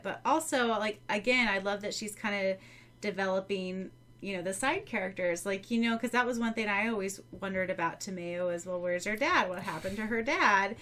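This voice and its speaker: A woman with a medium-pitched voice chats as a podcast co-host. She is agreeable and enthusiastic, talking about a story in loose, everyday phrasing. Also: fairly bright tone. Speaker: podcast co-host and audiobook narrator. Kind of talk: casual chat about a story.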